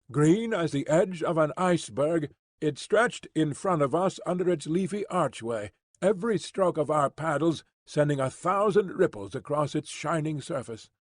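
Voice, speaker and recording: A man narrating an audiobook in a measured, even storytelling manner, close to the mic. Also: on the high side, no echo. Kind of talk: scripted narration.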